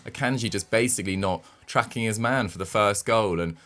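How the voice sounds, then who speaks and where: A man with a head cold, his voice stuffed and nasal. He rattles through his points at a fast clip in a fairly low register, chatting about football as a co-host. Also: a bit bright. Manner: rapid, eager run-on talk with quick restarts. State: has a cold. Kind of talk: casual football discussion.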